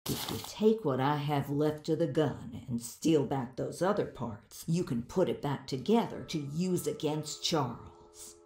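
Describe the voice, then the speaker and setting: A woman's voice: low voice, measured pace, few pauses, dark tone. A woman playing a tough, blunt older widow in a video game, with a wry, folksy way of talking. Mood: fond, challenging. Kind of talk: video game character dialogue. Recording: roomy echo.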